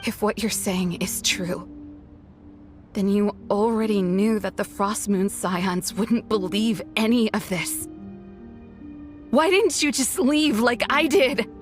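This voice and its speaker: A woman plays a proud, bitter outcast in a game. Her medium-pitched voice is unhurried, cool and wry. Music sits clearly underneath. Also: natural voice. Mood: defensive, scornful. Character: proud, bitter female turncoat who left her clan. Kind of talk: video game voice acting. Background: background music.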